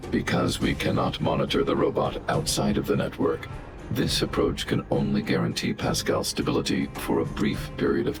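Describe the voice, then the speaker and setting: An actor voicing a huge, protective robot guardian in a video game, speaking in a calm, formal, emotionless and logical way. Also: male voice, a bass voice, creaky. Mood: grave, clinical. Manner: measured, formal, emotionless machine-like delivery. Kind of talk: scripted video game dialogue. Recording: game music behind.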